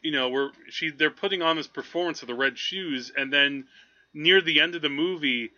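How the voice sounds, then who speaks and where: Very bright-voiced man chatting about films. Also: a little high, moderate pace, dry recording. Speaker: movie podcast host. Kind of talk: casual film review chat. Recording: slightly dull, lo-fi podcast audio.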